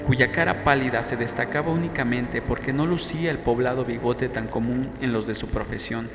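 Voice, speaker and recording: Guy narrating an audiobook novel, normal pitch, lots of echo n reverb, bit of noise behind. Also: a bit bright. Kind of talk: scripted first-person novel narration. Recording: echoey, long reverb, faint background noise.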